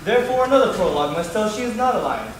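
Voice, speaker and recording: A man's voice: high-pitched, big pitch swings, racing. A man acting a worried, questioning amateur player in theatrical Shakespearean stage style. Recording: echoey room, distant mic, faint noise.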